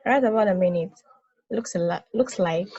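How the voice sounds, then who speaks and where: A woman playing a gentle, reassuring space probe companion in an amateur play reading. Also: mid-range voice, moderate pace, husky, highs cut off. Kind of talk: amateur play table read.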